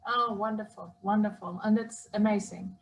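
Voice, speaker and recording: A woman's voice: medium pitch, fairly even intonation, normal speed, vocal fry, recorded from a distance, a little hiss or hum. A woman from Sweden with a Swedish accent, hosting an interview with upbeat enthusiasm. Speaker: host. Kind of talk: interview chat.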